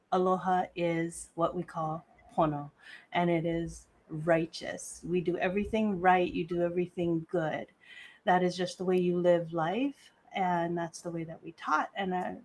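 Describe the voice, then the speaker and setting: Host, woman from Hawaii, slow and deliberate interview delivery. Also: fairly low pitch, steady tone, a little mellow, slight background noise. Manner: measured, deliberate delivery with a scripted-sounding welcome. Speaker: podcast host. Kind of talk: live podcast interview hosting.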